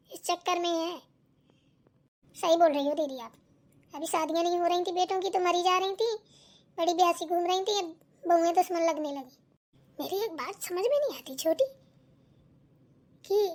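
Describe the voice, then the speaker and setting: Woman voicing a very high-pitched, gossipy cartoon village housewife. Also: darkish tone. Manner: gossipy, colloquial, complaining. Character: chatty village daughters-in-law and nagging mother-in-law, grumbling. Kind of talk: Hindi village family cartoon dialogue.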